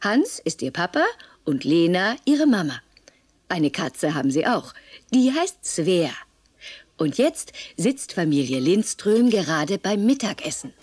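A woman narrating an audio play for children, explaining things clearly to young listeners. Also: fairly low pitch, very animated, a little slow, a little mellow, no room sound.

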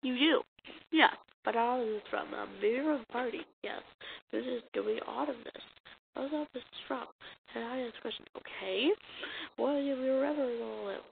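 A woman acting out comic dialogue as an amateur dub, halting and stumbling over the lines. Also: a little high, vocal fry. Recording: muffled lo-fi mic with hiss.

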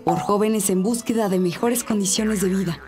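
A woman's voice: medium pitch, normal speed. A woman reading formal scripted documentary narration. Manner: even, formal. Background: music.

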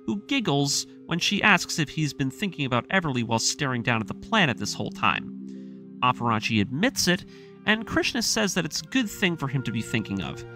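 A man narrating a scripted, dramatic recap of a story in an expressive storytelling manner. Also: mid-range voice. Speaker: narrator of an anime story breakdown series. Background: background music.

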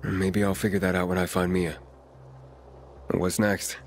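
Deep-voiced, guarded wanderer hero. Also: male voice, level tone, unhurried, a little hiss or hum. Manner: terse, questioning, serious. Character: earnest, guarded male wanderer, sceptical young loner hero. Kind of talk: video game dialogue acting.

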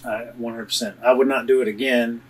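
A man's voice: medium pitch, brisk, slightly rough, low-quality recording. A man in his thirties, a travel vlogger, chatting casually about a road trip with fillers and restarts. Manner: relaxed storytelling with fillers and restarts. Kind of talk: casual chat. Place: RV interior, then outdoors at a campground.

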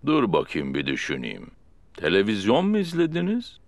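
A man's voice: fairly low pitch, huge pitch swings, normal speed, vocal fry, dry recording, heavily acted. A man voicing a jolly, bumbling father pig in a cartoon who talks in a jovial, teasing, fatherly way. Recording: cartoon with loud music behind.

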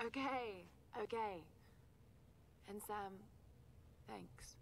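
A woman's voice: mid-range voice, very slow, creaky, slight background noise. An actress playing an earnest, determined young woman archaeologist in a naturalistic video game cutscene. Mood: uneasy, earnest.

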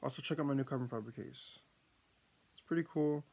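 A man's voice: medium pitch, fairly quick, vocal fry, a little mellow. A young man talking casually to his viewers, rambling with lots of fillers and restarts. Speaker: vlogger. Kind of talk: casual vlog chat.

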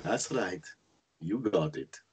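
A man's voice: low voice, slow, slightly rough, heavily acted. A man playing an exasperated adult son who tells of his boyhood love of movies in a theatrical screenplay reading. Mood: wistful, theatrical. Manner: expressive, theatrical storytelling. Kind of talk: screenplay table read, dramatic monologue. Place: indoors on a group video call.

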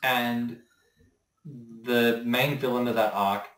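A man's voice: medium pitch, steady tone, slow, fairly bright tone, a little hiss or hum. A young man co-hosting, explaining things as a knowledgeable fan in casual chat. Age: young adult. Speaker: co-host, series specialist. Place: small indoor room with shelves. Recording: echoey room, faint music behind.

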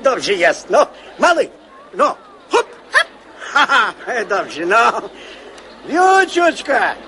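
An old-time cab driver in a radio play. His very high voice swings in lively, fawning fussing over light noise. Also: extremely slow, full character voice. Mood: fussing, eager. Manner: folksy, repetitive, fussing, obsequious. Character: good-natured old-time Lwów horse-cab driver, deferential haggler. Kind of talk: radio drama acting.

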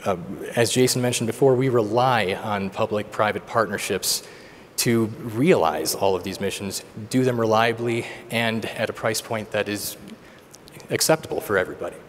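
A man acting as moderator, introducing the panel speakers in a relaxed, conversational way. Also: on the low side, moderate pace, a little hiss or hum. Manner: conversational, uses fillers. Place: large conference hall. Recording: long hall reverb, faint background ambience.

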